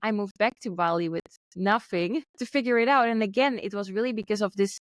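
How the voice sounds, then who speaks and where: A woman's voice: mid-range voice, fairly quick, no echo, clean studio sound. Friendly woman telling her story. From Netherlands. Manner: friendly, storytelling, light laughs. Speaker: podcast guest, entrepreneur. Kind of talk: casual interview conversation. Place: Bali, remote call.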